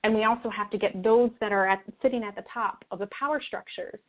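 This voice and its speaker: A woman speaking as an interview guest in an earnest, conversational way, reflective and halting as she rephrases her thoughts. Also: mid-range voice, fairly even intonation. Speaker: interview guest, spiritual video creator. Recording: tinny phone-like call audio.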